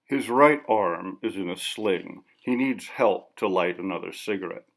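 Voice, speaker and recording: A man narrating literary fiction from a script in a measured, sober, clipped manner. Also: low-pitched. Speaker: audiobook narrator.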